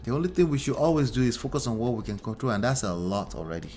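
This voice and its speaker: A man from Nigeria, co-hosting a casual relationship chat in an animated, blunt way, repeating phrases for emphasis. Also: mid-range voice, fast talker, soft background music. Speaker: talk show co-host.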